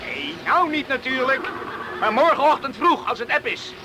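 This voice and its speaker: An actor playing an eager, adventurous teenage island boy in a Dutch radio play, excited and secretive in manner. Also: sits high, moderate pace, background noise. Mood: eager, insistent. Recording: radio play with loud outdoor ambience.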